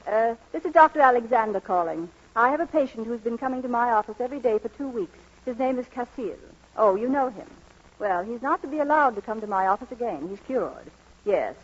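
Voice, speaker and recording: An actress playing a brisk, skeptical woman doctor, firm and clinical, in a radio romantic comedy. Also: fairly high pitch, lively intonation, slightly rough. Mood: curtly official. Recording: dull lo-fi old radio recording.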